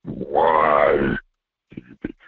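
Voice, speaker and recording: Extremely deep gravelly male caller, phone line. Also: flat delivery, no echo. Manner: slow, droning, repeats itself. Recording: tinny phone line, dry.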